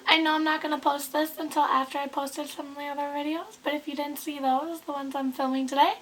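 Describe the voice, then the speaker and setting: A woman in her twenties with a hoarse, sick voice, chatting casually to camera in a rambling way with lots of ums. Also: high voice, little pitch movement, fast talker, fairly bright tone, slight background noise, low-quality recording. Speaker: beauty vlogger.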